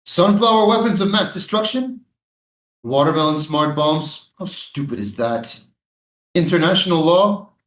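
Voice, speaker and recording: An actor playing an outraged, sardonic prisoner under interrogation in a staged play reading, exclamatory and sarcastic. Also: male voice, a little high, fairly bright tone. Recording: roomy, echoing stage sound.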